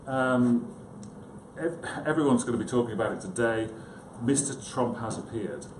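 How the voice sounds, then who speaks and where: Man, conference presentation, self-deprecating, chatty, halting delivery, roomy echo, clear background noise. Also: medium pitch, darkish tone. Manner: self-deprecating, hesitant, chatty asides to listeners. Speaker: conference speaker, psychiatrist. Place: conference room, indoors. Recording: echoey room, clearly noisy background.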